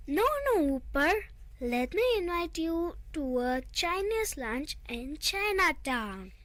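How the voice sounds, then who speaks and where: A high, bright, fussy little male chipmunk, as a woman reads him aloud, swooping up and down as he corrects his friend's manners. He speaks slowly and primly, and the sound is dry and close. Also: sing-song, dry recording, full character voice. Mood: cheerful. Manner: scolding about manners, drawn-out.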